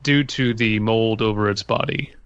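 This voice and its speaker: A man's voice: lowish voice, steady tone, moderate pace, fairly bright tone, no room sound, dull audio. A man co-hosting a podcast, chatting casually and joking while reading text aloud. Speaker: podcast co-host.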